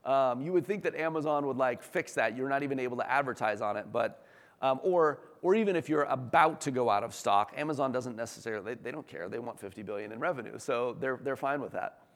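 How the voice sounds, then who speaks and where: A man giving an informal business presentation as a company executive, enthusiastic, conversational and emphatic, with a slightly hoarse voice. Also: medium pitch, fast talker. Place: large room, talk before an audience. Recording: echoey hall with long reverb.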